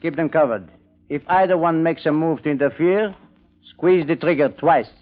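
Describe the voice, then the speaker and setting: A man's voice: on the high side, normal speed, close to an everyday voice. Man playing a menacing French gangster, tinny old radio. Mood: commanding. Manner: theatrical, formal, threatening. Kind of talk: 1940s radio detective drama acting. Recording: tinny, band-limited old radio recording.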